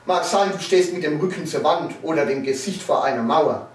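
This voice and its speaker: A man reciting a satirical poem in a declamatory, theatrical and measured manner, drawing out the ends of lines. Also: a little high. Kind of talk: dramatic poetry recitation, satirical. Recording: roomy echo, distant microphone.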